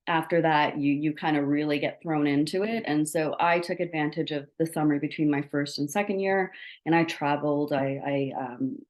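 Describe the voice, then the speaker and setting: Young woman from Sri Lanka, lawyer guest; low voice, measured and reflective, lots of ums. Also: fairly even intonation, a little mellow. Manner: reflective, measured, many fillers. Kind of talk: interview, career talk.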